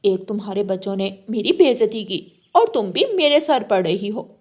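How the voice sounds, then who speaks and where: A woman's voice: medium pitch, lively intonation, moderate pace, darkish tone, natural voice. A woman voicing a jealous mother crow in a children's cartoon, with a dramatic, emphatic delivery. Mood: spiteful, angry. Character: spiteful jealous female crow, later remorseful mother. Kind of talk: Hindi children's cartoon voice acting.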